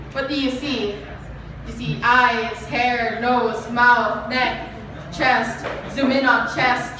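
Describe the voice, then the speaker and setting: A woman's voice: high-pitched, distant mic. A young woman with a Filipino accent performing spoken word poetry live. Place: live performance venue, heard from a distance. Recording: echoey venue from afar, noisy.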